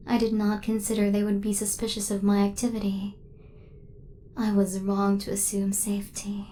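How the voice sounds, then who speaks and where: A woman playing a devoted, protective female hunter robot in a soft-spoken ASMR roleplay, with formal, precise, machine-like phrasing. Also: mid-range voice, a little hiss or hum, lightly acted.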